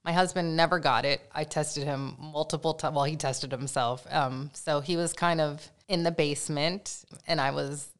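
Smooth low-voiced chatty woman. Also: normal speed, few pauses. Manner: chatty, animated storytelling with laughs. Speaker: podcast guest. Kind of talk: casual conversational storytelling.

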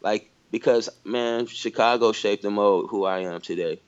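A man's voice: fairly low pitch, normal speed, smooth, highs cut off. A man from Michigan in a casual conversation, thinking aloud with lots of "you know" and "like". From Michigan, USA. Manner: reflective, many fillers like "you know".

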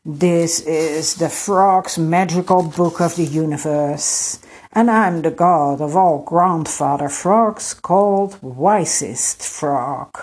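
A woman voicing a huge, pompous grandfather frog god in a storybook, measured and matter-of-fact. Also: low voice, a little mellow, breathy edge, exaggerated voice. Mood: self-important.

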